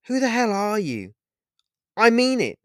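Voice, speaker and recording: A man voicing a heartbroken, furious young woman who shouts and nearly screams. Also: medium pitch, very animated, slow pace, no room sound, clean studio sound.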